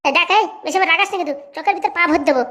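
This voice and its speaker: Casting: woman as quarrelsome young cartoon wife, very high voice, rapid combative scolding, rural Bengali accent. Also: fairly bright tone. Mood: angry, sulky. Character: sharp-tongued, quarrelsome young village wife. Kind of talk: comic cartoon dialogue in village dialect.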